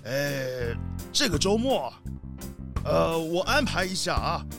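A man's high, swooping voice a stingy, self-important father in a children's radio play. He blusters and grumbles with suspicion, over background music. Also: big pitch swings, slow pace, full character voice. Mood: impatient. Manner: blustering, complaining. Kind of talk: children's audio drama acting.

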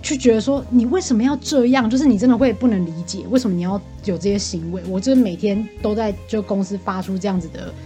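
A Taiwanese woman talks at a fast clip in a casual, animated storytelling style. She sounds talkative and exasperated, as if venting to a friend. Music plays behind her voice. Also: medium pitch. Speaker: podcast co-host venting as a bar customer.

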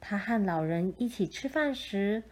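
A woman narrating a children's picture book in a gentle, measured storytelling style. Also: medium pitch, lively intonation, low-quality recording.

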